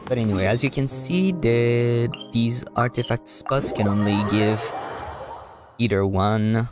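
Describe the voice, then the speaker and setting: A man casually commentating a live game stream, explaining things in a hesitant, halting, rambling way. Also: medium pitch. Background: music.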